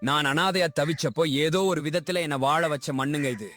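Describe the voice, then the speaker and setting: A man's voice: on the high side, a little fast, fairly bright tone, faint music behind, lightly acted. A man playing an honest, humble, forgiving orphan grown up, in a dramatised moral story. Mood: earnest.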